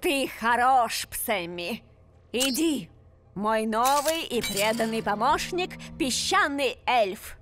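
A gloating old villainess. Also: female voice, a little high, lively intonation, takes it slowly, music in the background. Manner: theatrical, gloating. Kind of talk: Russian cartoon dubbing.

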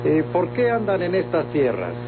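Curt Mexican hacienda boss. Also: male voice, on the high side, huge pitch swings, normal speed, background noise. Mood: curt, condescending. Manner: curt, commanding. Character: authoritative, condescending hacienda boss, a villain. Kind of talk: old Mexican radio drama acting. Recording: tinny old radio recording, loud music.

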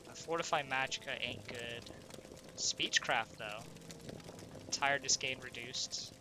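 A man's voice: medium pitch, a little slow, noisy background. A man with a stuffed-up voice, casually talking through his gameplay and asking himself questions as he goes. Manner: chatty, thinks aloud, asks himself questions. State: stuffed up, congested. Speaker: let's play gamer.

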